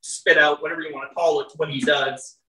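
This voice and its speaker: A poet with a loud voice reading their own work at a live venue, chatty and wry between poems and slow and measured when reading. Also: mid-range voice, level tone. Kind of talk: live poetry reading with casual asides. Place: indoor venue with live audience. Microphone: stage microphone, often off-mic. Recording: roomy, distant live-venue sound.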